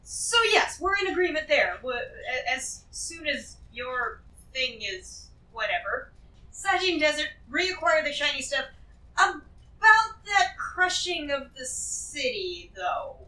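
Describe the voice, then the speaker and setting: A woman's voice: sits high, very animated, slow pace, bright, forceful tone, exaggerated voice. A woman playing a greedy, shrewd treasure-hoarder in a fantasy role-play, rambling and emphatic as she justifies herself. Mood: possessive. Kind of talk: improvised tabletop fantasy role-play acting. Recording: echoey room, distant from the mic.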